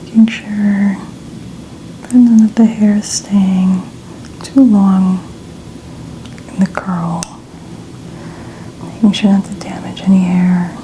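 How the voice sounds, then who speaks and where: A woman softly narrating hair styling for ASMR in a breathy near-whisper, gentle and soothing. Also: medium pitch, flat delivery, takes it slowly, pauses often, a little hiss or hum, poor audio. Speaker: ASMR creator.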